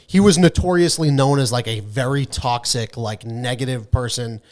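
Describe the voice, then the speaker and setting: Guy on a gaming podcast, mid pitched voice, swears a lot, emphatic casual chat. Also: normal speed, a little mellow. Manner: profane, informal, emphatic. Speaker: podcast co-host.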